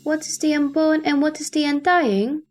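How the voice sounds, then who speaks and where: A woman playing an earnest bodhisattva who asks formal, measured questions in a sutra audio drama. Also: high-pitched, fairly even intonation, a little mellow, soft background music, lightly acted. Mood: earnest inquiry.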